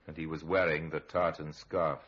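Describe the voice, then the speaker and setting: A grave storyteller from a vintage radio crime series. The voice is very deep and rough-edged, measured and drily ironic, on a muffled old recording. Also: male voice, flat delivery, fairly bright tone, full character voice. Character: grave male narrator of a true-crime series, sardonic. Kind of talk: 1950s radio crime drama narration, dramatic.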